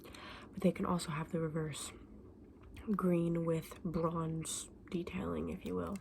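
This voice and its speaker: A soft, breathy woman acting as a female shopkeeper of magical creature eggs in an ASMR roleplay. Her voice sits a little low and often slips into a whisper. She explains her wares gently and knowledgeably, over clear background noise. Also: level tone. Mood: informative, gentle. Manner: gentle, unhurried, explanatory, addressing a customer. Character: friendly, knowledgeable female dragon egg saleswoman.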